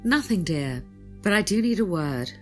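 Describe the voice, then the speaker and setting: A woman's voice: lowish voice, very animated, moderate pace, faint music behind. A woman playing a haughty, controlling middle-aged widow and mother in an audio drama, imperious and formal. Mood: imperious, offended.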